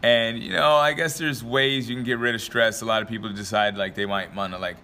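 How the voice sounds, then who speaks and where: A young man talks straight to his viewers with a bright, forceful edge, his voice a little raspy and dry. He rambles through motivational advice, leaning on fillers and breaking into laughs now and then. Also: lowish voice, steady tone, a little fast. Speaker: motivational vlogger and podcaster. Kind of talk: casual motivational talk to camera.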